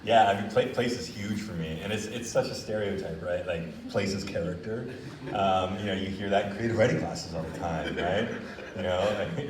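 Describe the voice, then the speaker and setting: Deep-voiced Philadelphia man in an echoey hall. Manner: earnest, emphatic, with many fillers. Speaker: author at a reading. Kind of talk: casual Q&A answer. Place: university reading event hall. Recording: roomy, distant, faint ambience.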